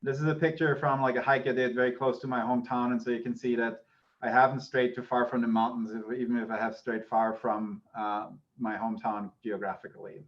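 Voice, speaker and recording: Dull-sounding Austrian man lecturing, slightly high voice. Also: level tone, brisk. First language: German. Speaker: university lecturer, associate professor. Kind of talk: informal online lecture.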